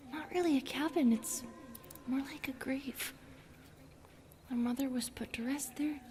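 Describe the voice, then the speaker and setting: A shy female forest spirit, acted by a woman, high-voiced and soft, with a breathy delivery that often slips toward a whisper. She is gentle and halting, apologizing for herself as she addresses the listener in a fantasy roleplay. Ambient noise sits behind the voice. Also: natural voice. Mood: worried warning. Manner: hesitant, self-effacing, apologetic, halting.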